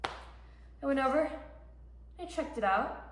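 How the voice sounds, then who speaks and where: A woman performing a dramatic monologue as a frantic, grieving mother, with emphatic delivery and heavy pauses. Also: high-pitched, animated, fairly bright tone, slight background noise, exaggerated voice. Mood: wistful, reflective. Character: frantic mother of a middle schooler, desperate and grieving. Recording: echoey room, voice ringing off walls.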